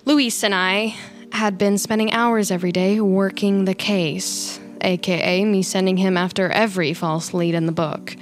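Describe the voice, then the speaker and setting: A woman's voice: medium pitch, fairly even intonation, normal speed, faint music behind, close to an everyday voice. A woman playing a flippant young office worker who is a killer, chatty and slangy, in an audio drama. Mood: wry storytelling. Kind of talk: conversational audio drama acting and first-person narration.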